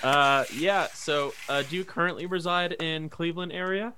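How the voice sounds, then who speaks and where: A man's voice: a little high, steady tone, moderate pace, bright, forceful tone, a little hiss or hum. A man in his twenties with an American accent, chatting casually and jokily as a podcast co-host. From Northern Kentucky, United States. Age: 20s. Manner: jokey, laughs often.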